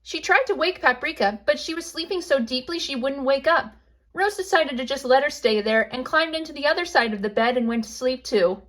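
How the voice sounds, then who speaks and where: A woman with a high, light voice narrates a children's story. She reads it out as a simple storybook bedtime tale. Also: lively intonation, fairly quick, a bit bright.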